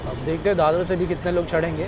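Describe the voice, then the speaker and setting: A man's voice: fairly high pitch, fairly even intonation, quick pace, a crowd in the background, rough recording. A man in his thirties, a travel vlogger chatting to viewers as he rides a train, filmed on a phone at arm's length. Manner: chatty, addresses viewers as guys. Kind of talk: casual train travel vlog. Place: train coach and station platforms. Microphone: phone held at arm's length. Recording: loud train and station noise.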